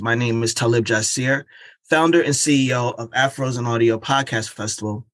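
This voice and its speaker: A man's voice: medium pitch, fairly bright tone, dry recording. A man hosting an interview series, giving a polished, welcoming introduction before asking questions. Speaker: interview host. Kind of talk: scripted show introduction, then interviewing.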